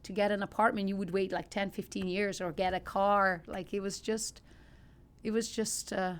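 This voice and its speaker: A woman's voice: medium pitch, flat delivery, fairly quick, clean tone. A middle-aged woman from Ukraine with a Ukrainian accent, telling personal stories conversationally as a podcast guest, earnest and emphatic.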